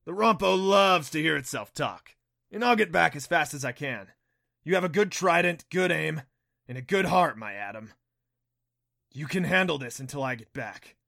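A man's voice: fairly high pitch, animated, moderate pace, creaky, sharp tone, dry recording, clean studio sound, pitched above the performer's own voice, exaggerated voice. A man voicing a confident male kelpie in a fantasy audiobook, emphatic, urgent and encouraging. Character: brisk, confident male kelpie, encouraging water-horse guardian. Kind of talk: audiobook character voice for a fantasy story.

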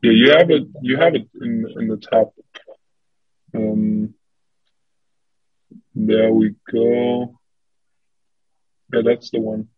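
Slow, husky man. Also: medium pitch, steady tone, no echo, phone-quality sound, soft background music. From Germany. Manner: hesitant, blunt. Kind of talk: casual chat on a video call. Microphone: video call.

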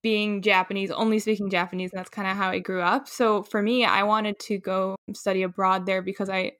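A young woman chats as a podcast guest, wandering through her thoughts with plenty of fillers. Her voice sits at a middling pitch. The recording is dry, with no room sound. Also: a bit bright, dry recording. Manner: rambling, many "like" fillers. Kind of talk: casual conversation.

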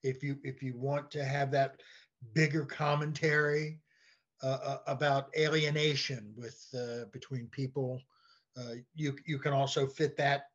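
A man speaking as a podcast guest in a halting, conversational way, often repeating words as he goes. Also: medium pitch, little pitch movement, fairly bright tone, lo-fi sound. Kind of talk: conversational discussion.

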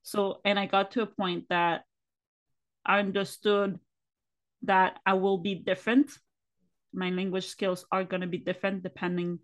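Earnest woman with a Spanish accent. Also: fairly low pitch. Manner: warm, earnest, encouraging. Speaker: podcast host. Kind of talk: casual conversation.

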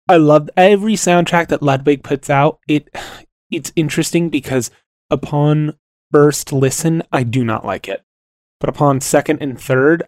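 A man's voice: fairly high pitch, no echo. Man chatting on a dry mic. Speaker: podcast co-host. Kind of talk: casual conversation.

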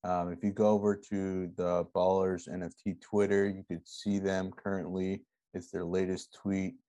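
A man's voice: low voice, little pitch movement, normal speed, mellow, no room sound. A man co-hosting a podcast in a casual, chatty style. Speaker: podcast co-host. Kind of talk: casual chat.

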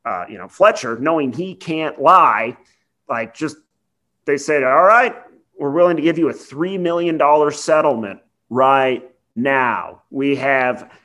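Man, podcast co-host, medium pitch, casual legal explainer, conversational, wry. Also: a little slow, bright, forceful tone.

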